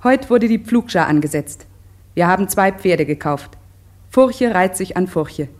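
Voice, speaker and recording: Casting: earnest settler diarist, lowish female voice, measured radio drama narration. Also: lively intonation. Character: settler woman reading her earnest farm diary. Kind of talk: radio play diary narration.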